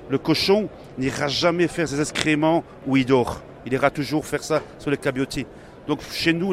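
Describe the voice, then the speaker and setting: A man's voice: medium pitch, slight background noise. A man from Belgium with a Belgian French accent, a pork company sales manager, explaining his farm in detail at a trade fair interview. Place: busy trade fair hall.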